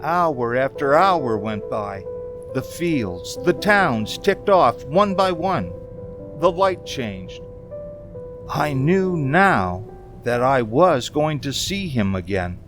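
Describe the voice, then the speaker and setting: A man playing a haunted, frightened driver who recounts an eerie road journey in a halting, stammering radio-drama style. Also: medium pitch, very animated. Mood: brooding dread. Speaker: amateur community theater actor. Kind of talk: dramatic first-person radio play narration. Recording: music under the voice.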